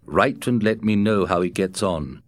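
A man's voice: on the low side, moderate pace, natural voice. A man voicing a weary frontier master who speaks soberly and curtly. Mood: gentle, sad. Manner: sober, curt.

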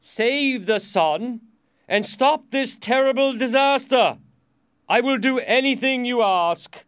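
A man voicing a frightened, impatient storybook king who shouts urgent orders. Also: very high-pitched, slow, clean tone, voice pushed up, full character voice.

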